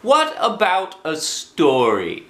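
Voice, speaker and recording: Little boy, acted by a man, storybook, raised pitch, eager, earnest, polite, coaxing. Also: wildly swooping pitch, slow, bright, forceful tone, echoey room, heavily acted.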